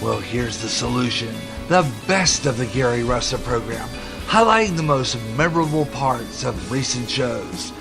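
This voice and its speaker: A man's voice: medium pitch, big pitch swings, normal speed, gravelly, music in the background. A man, a radio and podcast host, reading a scripted promotional announcement.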